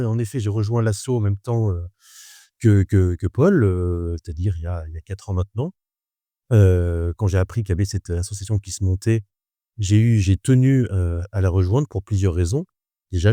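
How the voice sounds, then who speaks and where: A man in his thirties who teaches wine, talking in a measured, hesitant way with many fillers in a casual podcast chat. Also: fairly low pitch, mellow, dry recording. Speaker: wine instructor.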